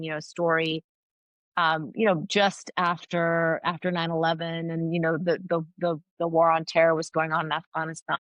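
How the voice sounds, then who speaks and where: Woman, middle-aged, low voice, earnest, thoughtful, restarts often, first language Farsi, dry close sound. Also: fairly bright tone, dry recording, low-quality recording. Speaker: guest, anthropologist and author. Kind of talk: reflective interview answers.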